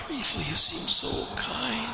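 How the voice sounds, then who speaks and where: A sly, needy cold spirit, acted by a man in a radio play, slow and gravelly, drawing words out into sighs and breathy whispers. The sound is roomy and echoing. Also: very high-pitched, expressive, pushed and bright, heavily acted. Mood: desperate pleading. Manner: drawn-out sighing, lapsing into breathy whispers. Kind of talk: audio drama acting. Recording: roomy echo, clear background noise.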